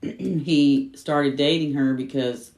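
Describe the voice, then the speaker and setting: A woman's voice: very deep voice, steady tone, slow pace, darkish tone. A woman co-hosting a casual chat, telling work stories in a blunt, informal way. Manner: blunt, informal, quotes herself. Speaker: private investigator, co-host. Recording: echoey room, distant from microphone.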